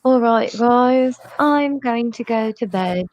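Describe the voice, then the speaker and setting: A woman's voice: on the high side, slow, a little mellow, no room sound. Tired Massachusetts woman, dry close sound. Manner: swears freely, tired. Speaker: guest. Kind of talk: casual chat.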